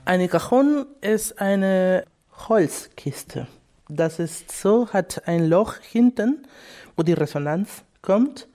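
A woman speaks in a mellow, warm voice. She explains casually and playfully, searching for words in a language that is not her first. Her German has small grammar slips. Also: lowish voice, expressive, faint music behind. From Peru. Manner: halting, non-native grammar, playful. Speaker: musician, interviewee.

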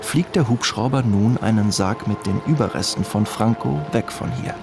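A man's voice: lowish voice, normal speed, music in the background. A man narrating a scripted radio documentary.

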